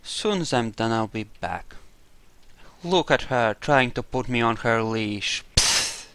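A man's voice: mid-range voice, animated, slow, sharp tone, clean tone. A man giving casual roleplay commentary over a video game, with wry, jokey asides. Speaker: gamer narrating a playthrough.

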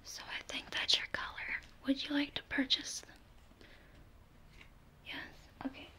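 A young woman whispering breathily in an ASMR roleplay as an attentive makeup consultant, soft and unhurried, recorded up close in a room at home. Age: young adult. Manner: soft, unhurried, attentive customer-service talk. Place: small furnished living room at home. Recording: noticeable background hiss and room noise.

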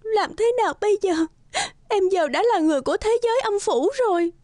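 A woman's voice: extremely high pitch, expressive, brisk, warm tone, dry recording. A woman voicing a sorrowful, loving young village wife in a fairy-tale cartoon, plaintive and tearful. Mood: tearful, pleading. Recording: music under the voice.

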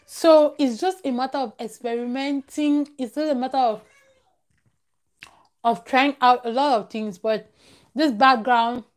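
A woman's voice: high voice, fairly even intonation, a little slow. A young woman chatting casually on a live stream, emphatic and repeating phrases for stress. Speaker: vlogger, live-stream host. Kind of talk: casual live-stream chat, advice to viewers. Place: small room at home, close to a plain wall. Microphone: clip-on lavalier at the collar.

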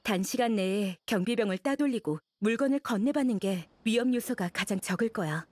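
A woman playing a guarded, pragmatic female scholar-assistant in game dialogue, deliberate and matter-of-fact. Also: medium pitch, animated, darkish tone, natural voice. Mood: decisive, matter-of-fact.

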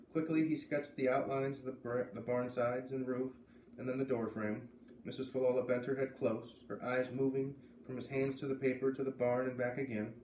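Guy in his 30s reading a kids book out loud, mic kinda far off, echoey living room. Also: medium pitch. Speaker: storyteller reading a children's novel aloud. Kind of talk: read-aloud narration with casual asides. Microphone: camera a few metres away. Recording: roomy, distant camera mic with faint noise.

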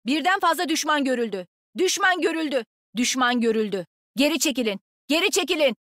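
A woman's voice: high voice, animated, a little fast, a bit bright, dry recording, studio quality. A woman playing a cocky, nimble young female agent in a shooter game, with a sassy, brash, taunting manner. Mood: brisk, alert. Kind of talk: video game combat voicelines.

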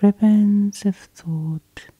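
Soft, breathy woman guiding sleep. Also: mid-range voice, steady tone, dry recording, muffled recording. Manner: soft, soothing, slow breathy half-whisper. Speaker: hypnosis guide, host. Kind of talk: whispered sleep hypnosis, ASMR guided meditation.

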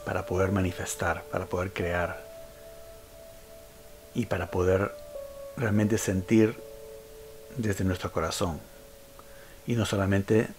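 A man leading a guided meditation, giving instructions in short phrases with frequent pauses. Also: deep voice, flat delivery. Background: background music.

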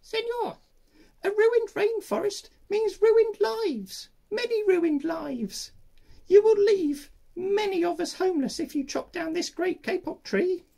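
A storyteller voicing a small tree frog with a squeaky, piping voice. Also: expressive, slow pace, gravelly, warm tone, heavily acted. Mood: pleading.

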